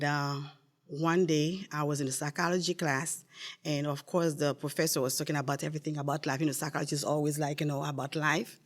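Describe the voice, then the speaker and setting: An older woman from Haiti, a podcast guest telling her faith story earnestly, with many pauses and repeated words. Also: deep voice. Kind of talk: casual testimony.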